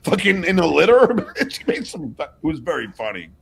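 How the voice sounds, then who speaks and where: A man's voice: a little high, very animated, normal speed, vocal fry, a little hiss or hum. A man hosting a livestream in casual chat, blunt and often swearing. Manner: profane, blunt. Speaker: host of a livestream show.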